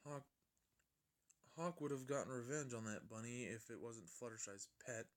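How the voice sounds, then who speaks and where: A man's voice: mid-range voice, normal speed. A man narrating a fan fiction story in an amateur style, often stumbling and rereading his lines.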